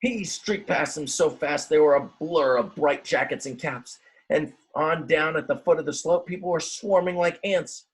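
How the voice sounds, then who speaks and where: A man reading a children's storybook aloud in a dramatic, expressive way, with simple explanatory asides for young listeners. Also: on the high side, moderate pace, breathy edge, rough recording. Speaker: teacher reading a picture book aloud.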